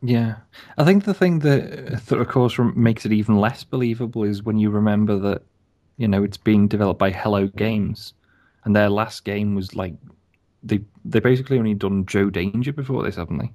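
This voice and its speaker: A man's voice: lowish voice, moderate pace, darkish tone. A man co-hosting a podcast, rambling hesitantly in casual chat about games. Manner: rambling, hesitant, many fillers and repeats. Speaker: podcast co-host.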